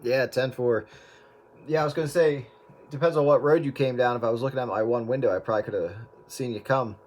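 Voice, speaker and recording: A man chatting casually over CB radio from his room at home, friendly and relaxed with many fillers. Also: mid-range voice, a little fast. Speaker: host, CB radio operator. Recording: loud static hiss.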